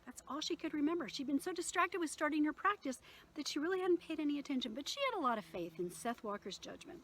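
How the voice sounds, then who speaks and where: A woman reading her own novel aloud in an expressive storytelling manner, with occasional small stumbles. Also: sits high, fast, a little hiss or hum, low-quality recording.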